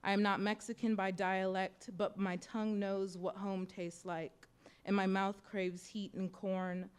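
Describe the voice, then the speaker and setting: A young American woman reading her own personal essay aloud at a bookstore event, in a measured, reflective way. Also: mid-range voice, clear, resonant tone. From United States. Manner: measured, reflective, softly expressive delivery. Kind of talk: literary reading of personal prose. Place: bookstore event in front of an audience.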